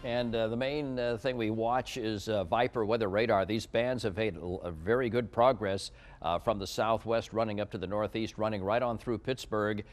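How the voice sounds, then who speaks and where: A man's voice: fairly low pitch, fairly even intonation, moderate pace. A man working as a TV meteorologist, presenting a live forecast in a conversational, ad-libbed way.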